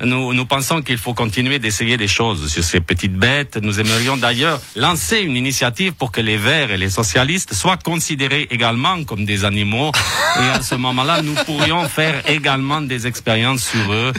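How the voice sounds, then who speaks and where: Man playing a bright-voiced, blustering, halting politician. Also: mid-range voice, animated, a little hiss or hum. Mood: indignant, blustering. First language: not French. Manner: halting, stumbling, indignant. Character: blustering right-wing party president struggling with French. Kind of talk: satirical political interview sketch.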